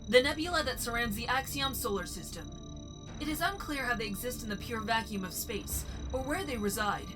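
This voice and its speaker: A woman playing a helpful, matter-of-fact ship computer in a sci-fi fan parody, speaking in a precise, measured, articulate way. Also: fairly high pitch, lightly acted, rough recording. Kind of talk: fan sci-fi parody dub, informational recitation.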